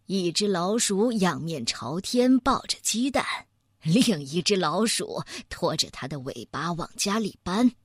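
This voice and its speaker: Woman voicing a calm, lower-pitched bear scientist. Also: husky, no echo, studio quality, exaggerated voice. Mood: calm, assured. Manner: unhurried, deliberate, explanatory. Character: calm, methodical male bear scientist, a detective-like doctor.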